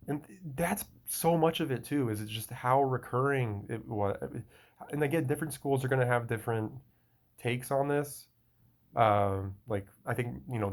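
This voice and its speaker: Young adult man, lowish voice, loose rambling solo talk with many restarts. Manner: rambling, frequent restarts and fillers. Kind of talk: casual solo monologue.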